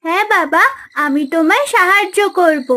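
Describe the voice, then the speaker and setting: A woman's voice: extremely high pitch, moderate pace, bright, forceful tone. A woman voicing an eager, helpful young village daughter in a cartoon family drama.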